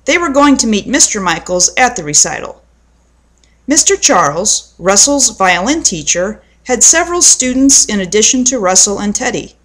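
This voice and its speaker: Woman reading a children's story, medium pitch. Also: sing-song, moderate pace, fairly bright tone. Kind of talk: children's story read aloud.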